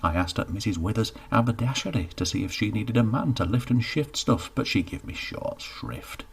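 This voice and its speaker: A man's voice: fairly low pitch, lively intonation, moderate pace, breathy edge, pitched above the performer's own voice. A man voicing a scruffy, sheepish jobless man of about fifty, who mumbles and stammers. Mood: glum. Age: 50s.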